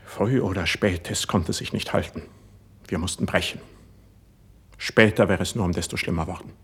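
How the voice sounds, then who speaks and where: A young poet of a classic radio drama, proud and deeply wounded. His voice sits low, with a breathy, husky grain. He speaks verse with measured, brooding intensity. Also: a bit bright, heavily acted. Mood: proud, defiant.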